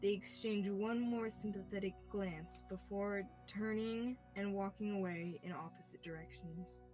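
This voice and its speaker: A woman's voice: mid-range voice, steady tone, unhurried, darkish tone, soft background music, rough recording. A young woman reading scripted narration for a radio-style mystery play. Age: young adult.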